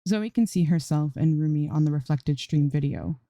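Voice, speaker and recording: A woman's voice: low-pitched, moderate pace, warm tone, no echo, studio quality. A woman narrating a scripted piece of fan fiction as an amateur story reader.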